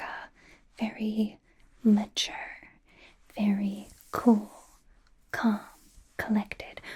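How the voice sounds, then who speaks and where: Woman doing a young girly pop idol stylist for asmr, soft whisper, real slow, gushing and gently bossy. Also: medium pitch, lightly acted. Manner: playful, gushing, gently insistent, halting. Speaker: ASMR performer. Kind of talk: ASMR roleplay, personal attention.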